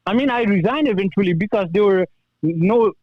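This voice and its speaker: Afghan accent guy calling in, pretty high voice, muffled lofi audio, earnest, telling his own story. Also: fairly quick, no room sound. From Kabul, Afghanistan. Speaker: call-in guest, former police officer. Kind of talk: personal account in conversation.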